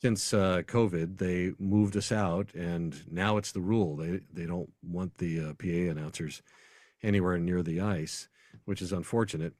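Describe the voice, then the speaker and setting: A man's voice: deep voice, steady tone, normal speed, darkish tone, no echo, studio quality. An American man speaking as an announcer guest in a casual sports interview, telling anecdotes in a conversational way.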